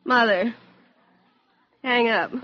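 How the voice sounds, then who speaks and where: A brittle, defensive young wife in a radio drama. Her voice sits a little high and sounds strained with guilt. The recording is dull and lo-fi. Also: drawn-out words. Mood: distressed.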